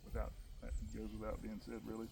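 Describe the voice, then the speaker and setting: A man with a big, loud voice, leading an informal musket training session for his group and reading out the rules. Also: fairly low pitch, fast, creaky, a little hiss or hum. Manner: loud, animated, talks with his hands in stories.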